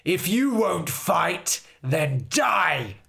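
A man voicing a huge, fierce male fairy king who speaks slowly with a sneering, menacing manner. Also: mid-range voice, expressive, voice pushed down, exaggerated voice. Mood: furious. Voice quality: gravelly, sneering. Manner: slow, menacing, growls and shouts.

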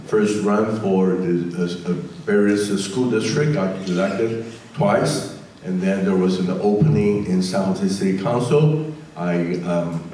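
An older man with a Taiwanese Mandarin accent speaks on a panel. His voice sits fairly low and is heard through a handheld mic from far across a big, echoey hall, with faint noise behind it. He talks haltingly, stopping and restarting often. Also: darkish tone. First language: Mandarin Chinese. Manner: halting, many fillers and restarts. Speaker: panelist, state legislator. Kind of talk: panel remarks. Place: large community center hall, indoors. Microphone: handheld mic, filmed from a far camera.

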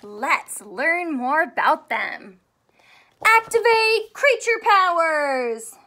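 A woman with a high voice gives a read-aloud lesson for young children. She takes her time, speaking slowly and expressively, with clearly enunciated words and questions for her young listeners. She sounds like a primary school teacher in her thirties. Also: big pitch swings, sharp tone. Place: small home room with sofa and soft toys.

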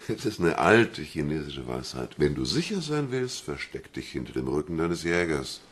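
An actor playing a dogged, wry police detective in a radio crime drama, with a deep gravelly voice. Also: male voice, sing-song, moderate pace, exaggerated voice. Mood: wry, brooding.

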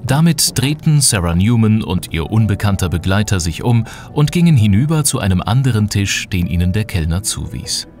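A man's voice: on the low side, big pitch swings, moderate pace, hardly any pauses, darkish tone, soft background music. A man narrating a children's audio drama as its storyteller.

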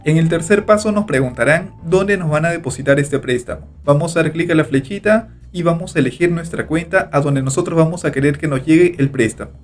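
Man, tutorial voice-over, slightly high voice, faint music underneath. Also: brisk, few pauses. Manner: steady instructional narration, repeats click steps. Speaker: tutorial host. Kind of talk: screen-recorded step-by-step tutorial. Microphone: voice-over over screen recording.

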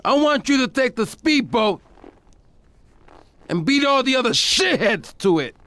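A middle-aged drug lord from a crime game, very high in pitch and wildly swinging. He rants slowly and theatrically, short-tempered and paranoid, over faint noise. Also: very animated, sharp tone, dry recording, exaggerated voice. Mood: scheming, commanding. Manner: explosive, theatrical ranting. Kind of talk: video game cutscene acting.

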